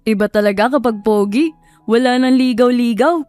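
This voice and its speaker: A woman's voice: fairly high pitch, moderate pace, warm tone, no room sound, faint music behind, lightly acted. A woman voicing a bantering, envious male market worker in a dramatized audio story. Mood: teasing, envious.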